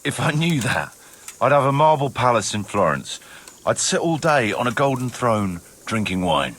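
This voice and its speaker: An actor playing a cynical, roguish mercenary captain in a video game, sardonic and swaggering, with a rough voice. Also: male voice, mid-range voice, sing-song, moderate pace, a bit bright. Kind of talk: dramatic video game dialogue.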